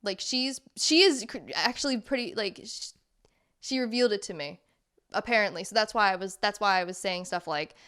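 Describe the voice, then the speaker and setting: A woman co-hosting a podcast in casual chat, talking in rambling, chatty runs full of quick asides. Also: medium pitch, a bit bright, clear, resonant tone. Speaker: podcast co-host.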